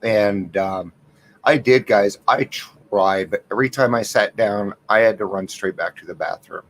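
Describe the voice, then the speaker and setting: Man, low-voiced, hosting a casual livestream; soft and worn out, rambling and self-deprecating. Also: unhurried. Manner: rambling, candid, self-deprecating, many restarts; talking softly on purpose. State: unwell and worn out. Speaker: livestream host of a recovery reflection. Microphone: computer mic with gain turned up.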